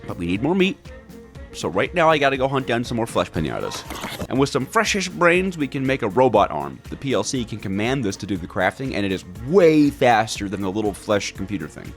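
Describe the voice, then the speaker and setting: A man narrating gameplay from a script in a wry manner, with playfully exaggerated complaints. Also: medium pitch. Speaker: video creator, gamer.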